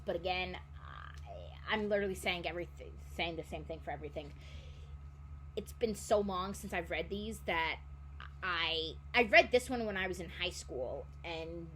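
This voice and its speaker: A young woman in her twenties talks casually and rambles, often stopping to correct herself mid-sentence. Her voice sits at an ordinary pitch for a woman. A faint hum runs underneath. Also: fairly bright tone, rough recording. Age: 20s. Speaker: book vlogger. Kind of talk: casual chat.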